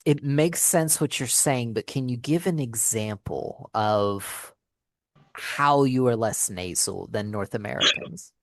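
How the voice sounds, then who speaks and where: A man's voice: mid-range voice, takes it slowly, no room sound. Man hosting a dry-sounding interview. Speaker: host, English teacher. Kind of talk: casual interview.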